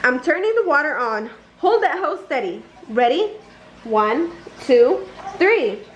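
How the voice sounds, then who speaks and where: A woman's voice: high voice, big pitch swings, takes it slowly, highs cut off, heavily acted. A woman voicing a friendly male cartoon mouse, a patient but easily exasperated dog owner, coaxing and mildly scolding in a storybook. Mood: bright, instructing. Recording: roomy echo, noticeable background noise.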